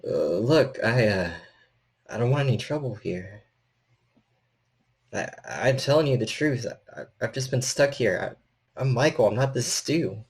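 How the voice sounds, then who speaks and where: A man's voice: medium pitch, lively intonation, unhurried, slightly rough. A man playing a nervous, weary repairman in a tabletop game, cautious and helpful, speaking in hesitant stammers. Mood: nervous, pleading.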